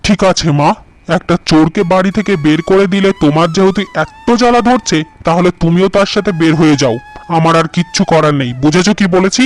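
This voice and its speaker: A man voicing a gullible, quick-tempered young farmer husband in a cartoon who scolds his wife and mother. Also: sits high, soft background music, close to an everyday voice. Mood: cold. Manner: stern, blustering.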